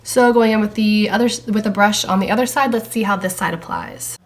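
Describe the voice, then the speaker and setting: A young woman's casual makeup review, her voice a little froggy and hoarse as she gets over a cold. She talks easily while she tries out products. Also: mid-range voice, fairly even intonation, a little fast. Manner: chatty, talking while applying makeup. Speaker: beauty vlogger.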